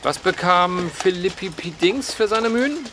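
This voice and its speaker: An actor in a German audio drama playing a teasing, unyielding gambler with a wry, mocking manner. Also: male voice, high-pitched, lively intonation, unhurried, noisy background.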